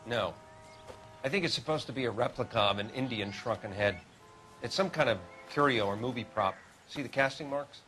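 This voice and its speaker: Actor as a dry, unflappable forensic examiner. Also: male voice, mid-range voice, a little fast, music in the background, close to an everyday voice. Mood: dry, intrigued. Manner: dry, explanatory, precise. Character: wry, unflappable 1940s police forensic examiner. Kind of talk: 1940s period video game acting.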